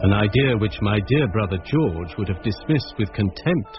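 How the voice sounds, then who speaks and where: A man plays a thoughtful British schoolmaster telling his tale in a low voice. His delivery is measured and atmospheric, with loud music behind a slightly dull radio recording. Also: lively intonation, close to an everyday voice. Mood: reflective, ominous. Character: thoughtful mathematics schoolmaster recalling uncanny events. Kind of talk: scripted first-person radio drama narration.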